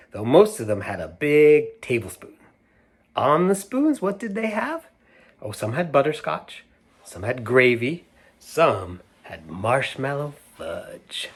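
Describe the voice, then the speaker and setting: Man slowly reading a picture book aloud. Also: a little high. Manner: gentle and slow, pausing to show the pictures. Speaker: children's book reader. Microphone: camera mic, moving toward it to show pages.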